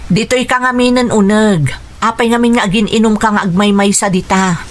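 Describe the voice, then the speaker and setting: A woman's voice: medium pitch, fairly even intonation, normal speed, lightly acted. Woman acting an exasperated Ilocano wife and mother. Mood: scolding. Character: Barbara, hard-working exasperated wife and mother. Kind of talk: Ilocano radio drama acting.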